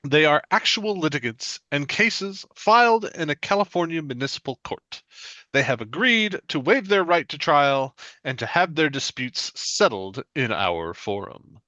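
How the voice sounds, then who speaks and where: Man doing an emphatic, bright-voiced TV announcer. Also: a little high, expressive, measured pace, no room sound, muffled recording. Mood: formal, dramatic. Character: solemn television court show announcer in a parody. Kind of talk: scripted TV announcement, read aloud.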